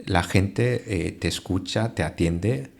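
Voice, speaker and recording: A man's voice: deep voice, slow pace, creaky. A man whose first language is Japanese, speaking as a guest in a podcast interview, thoughtful, with frequent hesitations and restarts. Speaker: translator and Japan expert guest.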